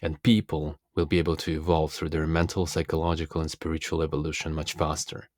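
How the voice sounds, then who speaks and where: A man from Russia, a native Russian speaker, giving a reflective, philosophical self-help monologue in an earnest, deliberate manner as a coach. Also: extremely low pitch, level tone, darkish tone, dry recording, studio quality.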